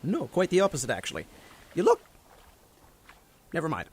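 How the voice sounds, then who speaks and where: Guy doing chatty irish hero, high lilting voice, super swoopy, folksy, fan audio drama. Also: huge pitch swings, moderate pace, noisy background. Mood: wry.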